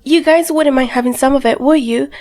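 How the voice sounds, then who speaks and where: High-voiced feisty young woman. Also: brisk, a little mellow. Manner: feisty and defiant. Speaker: lead character. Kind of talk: amateur audio drama.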